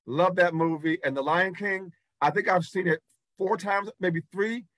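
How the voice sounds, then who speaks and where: A man's voice: high-pitched, a little slow, a bit bright, dry recording. A middle-aged man hosting an interview over a video call, effusive and laughing, repeating his praise. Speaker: talk show host. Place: indoors in front of a backdrop.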